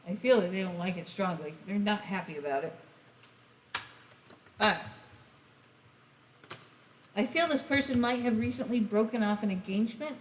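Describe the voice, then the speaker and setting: A woman giving a casual tarot reading, rambling and speaking straight to the listener, her voice going hoarse. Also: mid-range voice. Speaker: tarot reader. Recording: echoey room, faint hiss.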